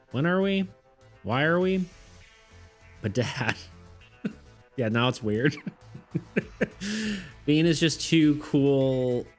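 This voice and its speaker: A man chatting casually with his viewers on a live stream, rambling, restarting his sentences and laughing often. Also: medium pitch. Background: faint background music.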